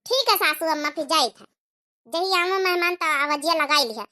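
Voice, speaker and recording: A woman's voice: very high voice, fairly quick, sharp tone. A woman voicing a chatty, placating young village daughter-in-law with an Awadhi accent in a comic cartoon. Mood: pleading. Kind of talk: exaggerated cartoon comedy dialogue.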